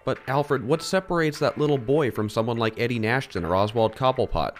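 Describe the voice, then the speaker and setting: A man playing a rich high school boy in an audio drama, earnest and questioning in his manner. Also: a little fast, faint music behind, close to an everyday voice. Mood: troubled, questioning. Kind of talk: amateur audio drama acting.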